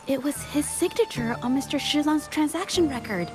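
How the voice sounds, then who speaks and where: A woman's voice: high voice, expressive, normal speed, over music. An actress playing an inquisitive, earnest young female investigator in a video game, speaking thoughtfully. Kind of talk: scripted game voice acting.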